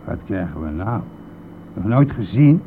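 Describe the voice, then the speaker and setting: Cheeky bus driver, tinny old recording. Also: male voice, on the low side, sing-song, unhurried. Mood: teasing, nosy. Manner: teasing, blustering, chuckling at own jokes. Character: cheeky, nosy, grumbling male village bus driver. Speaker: radio drama actor. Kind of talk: Dutch radio play acting. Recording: tinny narrow-band old radio recording, vehicle noise.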